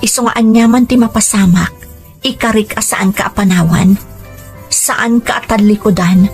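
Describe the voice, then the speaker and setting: A woman's voice: mid-range voice, moderate pace, darkish tone, lightly acted. A woman playing a devoted young daughter in love, speaking tenderly and earnestly in a radio romance drama. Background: background music.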